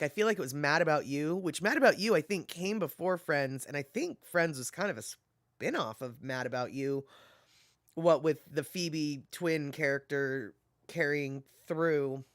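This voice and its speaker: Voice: mid-range voice, expressive, a little slow, no room sound, studio quality. A livestream host chatting casually and reading viewer comments aloud, laughing often and musing while scrolling. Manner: frequent fillers like "let's see here".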